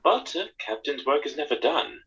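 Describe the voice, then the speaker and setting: A man playing a charming, jaunty young gentleman captain in a period radio mystery, with a breezy, gallant manner. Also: fairly high pitch, big pitch swings, normal speed, slightly rough, highs cut off, heavily acted.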